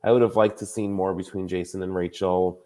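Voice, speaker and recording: A man's voice: sits low, level tone, brisk, warm tone. A man in his thirties hosting a podcast, chatting casually and chattily with plenty of filler words. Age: 30s. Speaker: podcast host. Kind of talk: casual chat.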